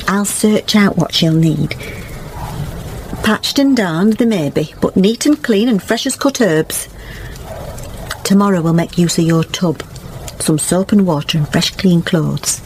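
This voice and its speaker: A woman's voice: on the low side, animated, measured pace, a little mellow, a little hiss or hum. A woman playing a kindly, practical village countrywoman in a radio drama, with a rural English accent and a soothing manner. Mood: practical, motherly.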